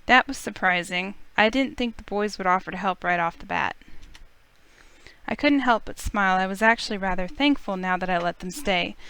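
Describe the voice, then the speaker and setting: Weary young heroine read by a woman: clear tone, medium pitch, earnest visual novel narration and dialogue. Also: moderate pace, sharp tone. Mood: reflective, tired.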